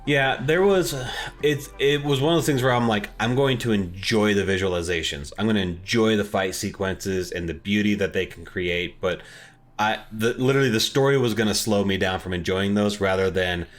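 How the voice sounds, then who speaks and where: A man's voice: fairly low pitch, normal speed. A man co-hosting a podcast, talking informally and with strong opinions about movies and games in casual chat. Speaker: podcast co-host.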